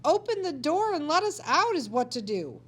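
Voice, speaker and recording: A woman's voice: sits high, big pitch swings, normal speed, voice pushed up. A woman voicing a hysterical, bossy schoolteacher who hollers emphatically in a storybook reading. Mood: exasperated hollering.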